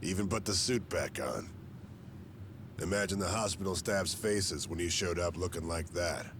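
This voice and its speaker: An actor playing a stoic, world-weary old gangster veteran in a video game, speaking in a terse, dry, understated way. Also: male voice, sits low, moderate pace, noisy background. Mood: somber. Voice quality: gravelly. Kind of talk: video game cutscene acting.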